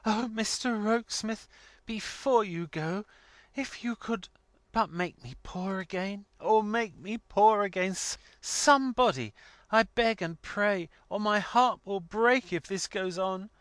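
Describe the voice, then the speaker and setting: A man's voice: sits high, animated, measured pace, a bit bright, no room sound, pitched above the performer's own voice. A man voicing a distraught, pleading young woman in a story reading, imploring with passionate remorse. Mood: tearful, pleading.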